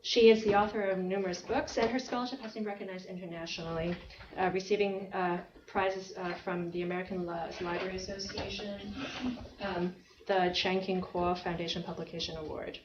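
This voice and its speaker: A woman introducing a speaker at an academic event, halting with many fillers. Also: on the low side, fairly even intonation. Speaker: event chair. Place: lecture hall. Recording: echoey room, muffled, clear hiss.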